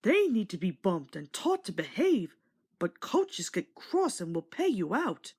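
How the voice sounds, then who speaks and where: A woman's voice: mid-range voice, wildly swooping pitch, measured pace, darkish tone, breathy edge, exaggerated voice. A woman voicing a cautious, kindly male steam engine, an experienced helper in a children's storybook. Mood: kindly warning. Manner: puffing, emphatic repeated phrases when working.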